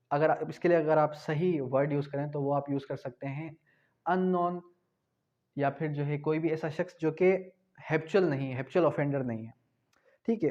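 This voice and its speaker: A man from Pakistan giving an exam-prep law lecture, asking questions and then answering them, spelling out key terms slowly. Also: on the high side, darkish tone, highs cut off. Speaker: law tutor.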